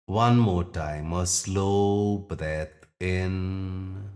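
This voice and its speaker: A man teaching yoga nidra, guiding a meditation gently in short phrases with long pauses. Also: low-pitched, soft background music. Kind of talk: guided meditation.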